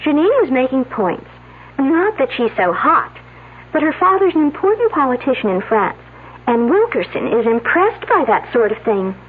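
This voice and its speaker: Tinny, husky catty college girl. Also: sits high, sing-song, normal speed, exaggerated voice. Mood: frank, catty. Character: frank, catty young female college student. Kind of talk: 1970s radio mystery drama acting. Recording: tinny phone-like vintage radio broadcast.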